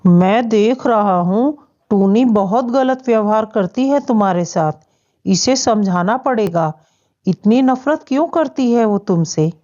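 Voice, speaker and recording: A woman voicing a kind, wise father bird in a cartoon, soothing and gently reproving. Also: medium pitch, animated, measured pace, lightly acted. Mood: concerned. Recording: dull, muffled lo-fi audio.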